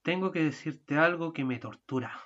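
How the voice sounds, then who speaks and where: Fussy, quarrelsome husband for a radio drama, voiced low by a woman; muffled recording. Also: moderate pace, lightly acted. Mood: earnest. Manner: bickering, rising to shouting. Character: exasperated fussy married man, husband. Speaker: student radio drama actor. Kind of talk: amateur radio play acting.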